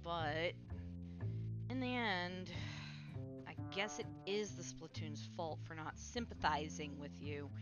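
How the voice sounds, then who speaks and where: A woman's voice: fairly low pitch, slow. A woman voicing a stern, bitter young squid-girl captain in a dramatic comic dub. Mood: cold, stern. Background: music.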